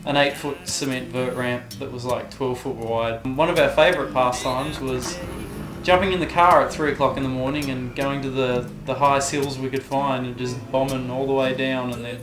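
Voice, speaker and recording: A man's voice: medium pitch, little pitch movement, normal speed. A man from Tasmania with an Australian accent, talking casually and reflectively as an interviewed skater. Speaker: professional vert skater, interviewee. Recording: roomy echo, guitar music behind. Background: guitar music.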